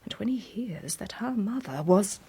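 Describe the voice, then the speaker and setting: An actress playing a troubled, anxious wife in a breathy, hushed voice in a radio drama. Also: female voice, medium pitch, expressive, normal speed.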